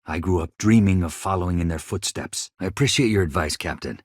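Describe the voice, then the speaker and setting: A man's voice: low voice, fairly quick, no echo, studio quality. A man voicing an earnest, weary chief engineer in a video game, speaking in a reflective, conversational way. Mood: wistful. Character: earnest, weary male chief engineer, haunted survivor of a disaster. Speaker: starship crew engineer. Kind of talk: video game character dialogue.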